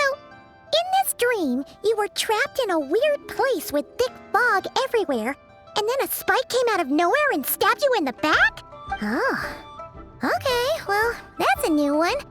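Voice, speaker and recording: A woman voicing an excitable, chatty little fairy companion in a game who refers to herself in the third person. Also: extremely high pitch, heavily acted. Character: tiny floating female fairy companion, chirpy. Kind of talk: game dialogue. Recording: game audio with music behind.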